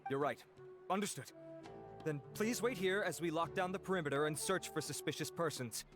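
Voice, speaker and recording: A man's voice: fairly high pitch, brisk, lightly acted. A man voicing a dutiful, formal officer. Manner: formal, respectful. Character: dutiful male investigator officer. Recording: game dialogue over music.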